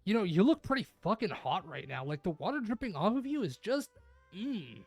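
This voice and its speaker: A woman streaming a visual novel read-aloud, mixing expressive narration with casual, playful chat. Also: medium pitch, a little fast, breathy edge.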